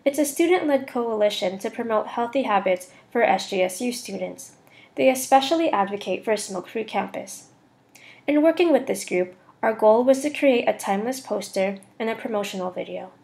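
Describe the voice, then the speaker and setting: A woman's voice: a little high, animated, fairly quick, vocal fry, a little mellow. A young woman student reading a scripted voice-over for a slide presentation. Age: young adult.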